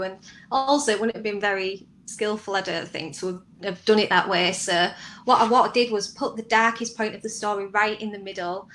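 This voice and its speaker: A woman's voice: mid-range voice, a bit bright. Woman writer interviewed, some background noise. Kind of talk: conversational interview answers.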